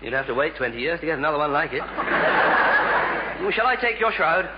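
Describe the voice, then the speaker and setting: A man's voice: a little high, sing-song, normal speed. An actor playing a flustered, bumbling, well-meaning English bachelor in an old British radio sitcom, stammering and tripping over his words. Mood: nervously jovial. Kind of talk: 1950s-60s British radio sitcom acting. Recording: muffled vintage radio recording, faint hiss.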